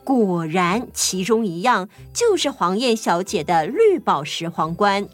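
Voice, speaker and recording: A woman's voice: medium pitch, big pitch swings, a little slow. A woman reading scripted narration for a children's audio story. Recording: music under the voice.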